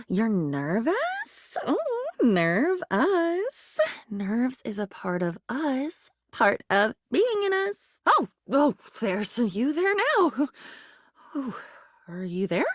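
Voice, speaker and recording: A woman's voice: fairly high pitch, dry recording, clean studio sound, full character voice. A woman voicing an anxious, lonely living diary in a video game, rambling and disjointed, lurching between moods and volumes in a theatrical way. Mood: nervous, teasing.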